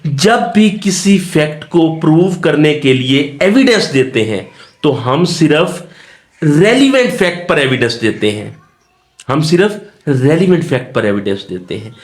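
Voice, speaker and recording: A man teaches in a lecture style. His voice sits a little higher than average for a man. He is didactic, restating and rephrasing key points so that learners follow. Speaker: law lecturer. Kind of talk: exam-focused lecture mixing Urdu-Hindi and English terms.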